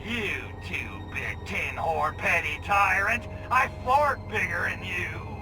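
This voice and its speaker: An actor playing a cocky, crude, wisecracking alien invader in a video game cutscene, brash and sarcastic. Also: male voice, high-pitched, lively intonation, slow pace, over music. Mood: boastful, defiant.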